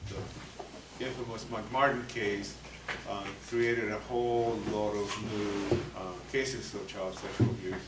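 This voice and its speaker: An older man with a Spanish accent gives a soft-spoken, hesitant lecture with plenty of ums and uhs. His voice sits fairly low. He is heard from across an echoey, noisy meeting room. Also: fairly even intonation, slow pace, hardly any pauses, distant mic. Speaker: psychologist presenting to lawyers. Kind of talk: continuing-education lecture.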